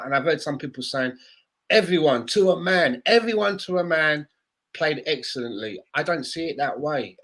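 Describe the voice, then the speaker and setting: A man's voice: medium pitch, a bit bright. A man on a casual sports podcast, blunt and swearing freely as he argues. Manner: blunt, swears freely, plays devil's advocate. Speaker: podcast co-host.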